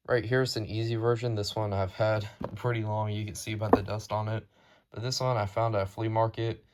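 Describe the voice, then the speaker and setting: A man presenting his hobby collection in a casual, unscripted show-and-tell, with a matter-of-fact, informal delivery. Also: on the low side.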